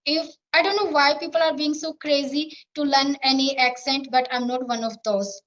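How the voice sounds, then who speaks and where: High voiced indian english woman, earnest and repetitive, kinda muffled lo-fi audio. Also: fairly even intonation, moderate pace, fairly bright tone. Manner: earnest, repetitive phrasing with fillers. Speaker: English learner being interviewed. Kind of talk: spoken English practice interview.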